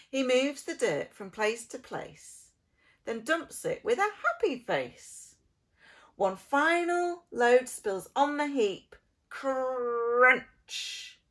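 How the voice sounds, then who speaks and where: A woman reading a children's picture book aloud as an expressive preschool storyteller, theatrical, drawing out words and dropping into soft, hushed phrases. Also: fairly high pitch.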